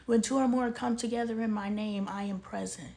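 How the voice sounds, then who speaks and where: A woman's voice: fairly high pitch, steady tone, fairly quick, vocal fry, mellow. A woman from El Paso, Texas, giving a casual spiritual commentary monologue. She talks passionately, asks rhetorical questions, and sometimes drops to a near-whisper. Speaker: vlogger.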